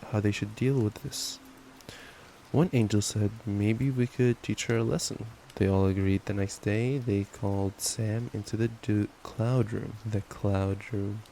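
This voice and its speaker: Young guy doing cuddly boyfriend asmr, soft dark tone, casual and playful, bit of rain in the background. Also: fairly low pitch, a little slow, low-quality recording. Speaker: ASMR roleplayer playing a boyfriend. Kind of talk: intimate ASMR boyfriend roleplay with bedtime story reading. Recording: steady rain noise. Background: rain sounds.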